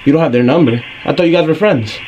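A man's voice: a little high, fast, dull audio, background noise. A man in his twenties making casual prank calls in a laid-back, slangy, mock-earnest way from a bedroom. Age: 20s. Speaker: YouTube prankster. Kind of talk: casual prank phone calling. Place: bedroom, indoors. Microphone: camera mic at about arm's length.

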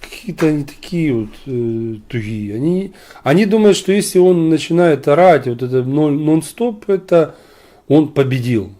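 A man's voice: fairly high pitch, animated, moderate pace, warm tone. A man from Ukraine arguing on a video chat stream, blunt and mocking with sarcastic asides. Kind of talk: argumentative online video chat.

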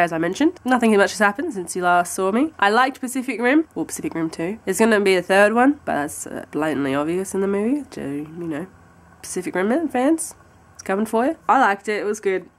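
A young Australian woman in her twenties with a husky voice from a cold. She chats casually to a handheld camera at home and rambles through her plans. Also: medium pitch, animated, a little fast. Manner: chatty and rambling, with light laughs. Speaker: vlogger. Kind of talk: casual vlog chat to camera. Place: at home, indoors, by a window. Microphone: handheld camera close to the face.

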